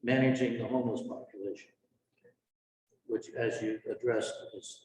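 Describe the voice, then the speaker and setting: A man's voice: mid-range voice, steady tone, normal speed, noisy background. A man at a community meeting, listing his concerns plainly with some fillers. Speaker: resident at public meeting. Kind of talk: public meeting discussion. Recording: echoey hall.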